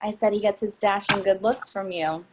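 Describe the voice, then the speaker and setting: A woman's voice: mid-range voice, steady tone, normal speed, noisy background, close to an everyday voice. A woman playing an easygoing, teasing mother in a stage play reading. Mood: relaxed, teasing. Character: easygoing, well-dressed mother with teasing wit. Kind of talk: readers theatre dialogue.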